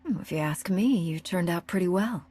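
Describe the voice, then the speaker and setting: A woman playing a composed, sceptical Jedi hero in a space-opera video game, speaking in a measured way. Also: fairly low pitch, expressive, dark tone, breathy edge. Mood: reassuring. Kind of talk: video game dialogue acting.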